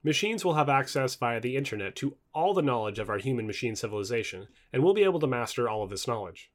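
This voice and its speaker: Brisk, expressive male narrator. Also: medium pitch. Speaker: reader of a non-fiction book. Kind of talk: scripted audiobook-style narration.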